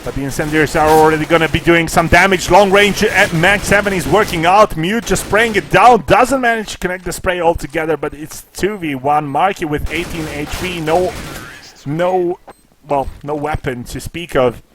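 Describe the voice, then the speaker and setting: A man casting a live esports match, excitable at big plays, with casual, jokey asides. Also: normal speed, slight background noise. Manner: excitable, rises in pitch and volume at big plays. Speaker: esports caster. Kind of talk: live play-by-play commentary.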